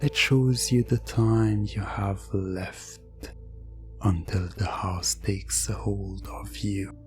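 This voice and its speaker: A narrator giving a hypnotic ASMR roleplay in a deliberate, repetitive way, sometimes slipping into a near-whisper. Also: low voice, steady tone, over music. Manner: deliberate, repetitive phrasing with lingering pauses.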